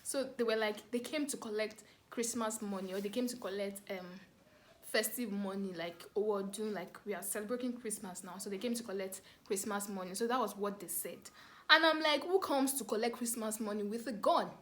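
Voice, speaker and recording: A woman with a Nigerian accent telling a story casually to camera, animated and dramatic, repeating words for emphasis. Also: medium pitch, a little fast, slight background noise. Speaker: vlogger telling a family story.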